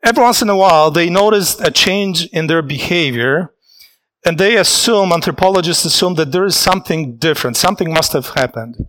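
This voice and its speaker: Man, preacher, sermon, earnest, reflective, halting, slightly high voice. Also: lively intonation, a bit bright, rough recording. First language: Polish.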